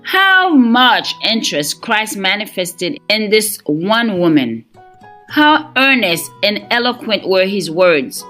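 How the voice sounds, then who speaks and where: A woman reading a scripted devotional in a measured, earnest manner. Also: mid-range voice, sing-song, fairly bright tone, soft background music. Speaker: devotional reader.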